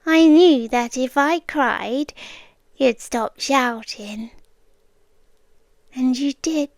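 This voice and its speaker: Soft, high-voiced British yandere girlfriend played by a woman. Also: no echo, clean studio sound, exaggerated voice. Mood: tender, insistent. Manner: slow, intimate, often dropping into whispers. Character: obsessive, soft-spoken yandere girlfriend, calmly possessive young woman captor. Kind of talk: ASMR roleplay acting.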